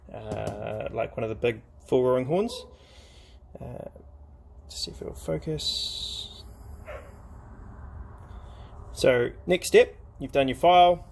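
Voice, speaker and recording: A man's voice: mid-range voice, creaky, slight background noise. A man giving a casual, hands-on craft demonstration, explaining each step in an informal, laid-back way. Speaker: craft tutorial host.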